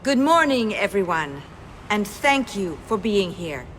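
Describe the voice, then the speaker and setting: A woman's voice: medium pitch, sing-song, measured pace, fairly bright tone, slight background noise. An actress playing a poised, authoritative company chairwoman in a video game, speaking formally and with composure. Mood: formal, composed.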